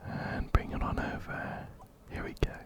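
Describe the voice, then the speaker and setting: Slow man whispering in ASMR. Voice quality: breathy, unvoiced whisper. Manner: gentle, unhurried, repeats words softly. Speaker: ASMR game streamer. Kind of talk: whispered ASMR game playthrough and read-aloud. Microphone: close-up binaural microphone, ear to ear.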